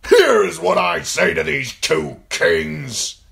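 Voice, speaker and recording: Fantasy audiobook role: massive fierce warlord, thunderous, scornful, raised shouting delivery. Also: male voice, fairly high pitch, sing-song, unhurried, vocal fry, voice pushed up, heavily acted. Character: huge booming northern lord, fierce, defiant rebel. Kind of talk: audiobook fantasy character acting.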